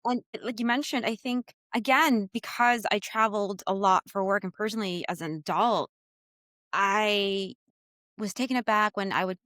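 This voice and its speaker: An American woman speaks as an interview guest, telling candid stories with animation. Her voice is mid-pitched. The sound is dry and close to the mic. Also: normal speed, fairly bright tone, dry recording. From United States. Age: adult, childhood in the 1990s. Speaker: podcast guest. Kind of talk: conversational interview.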